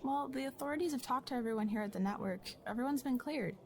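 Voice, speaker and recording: Actress as a quick-talking, concerned woman coworker. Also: high-pitched, a little mellow. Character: concerned, probing female colleague and friend. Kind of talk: naturalistic audio drama dialogue.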